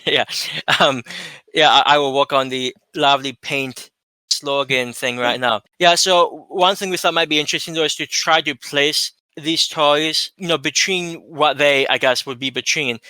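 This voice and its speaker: Male co-host, higher register, smooth clear tone, casual discussion delivery. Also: steady tone, moderate pace, bright, forceful tone, no echo, poor audio. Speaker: co-host of a Doctor Who discussion show.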